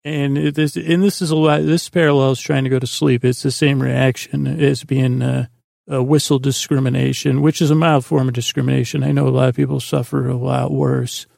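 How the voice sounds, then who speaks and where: A man with a creaky, gravelly voice hosting a sleep podcast in a meandering, halting, self-deprecating monologue full of tangents. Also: mid-range voice, flat delivery, a little mellow, no echo, studio quality. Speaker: podcast host.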